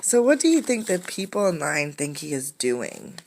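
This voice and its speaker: Low-voiced woman reading to children. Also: sing-song, moderate pace, gravelly, a little mellow, slight background noise. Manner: instructive, poses questions to young listeners. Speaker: teacher reading a picture book aloud. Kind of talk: interactive storybook read-aloud for children.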